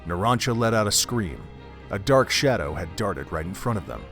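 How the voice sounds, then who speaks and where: Male story narrator, fairly low voice, measured and literary, music bed. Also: animated. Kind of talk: scripted story narration for an audio drama. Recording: background music under the voice.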